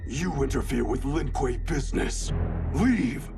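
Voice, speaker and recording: A man playing a stern, menacing ninja clan warrior in a video game, gravelly, slow, cold and imperious. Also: mid-range voice, expressive. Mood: threatening. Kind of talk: cinematic game dialogue. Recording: cinematic music behind the voice.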